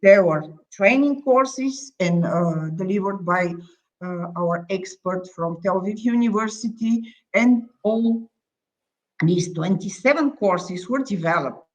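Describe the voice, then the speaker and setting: A woman's voice: medium pitch, slow, low-quality recording. Woman presenter with a Bulgarian accent. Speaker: university project coordinator presenting. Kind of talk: conference presentation.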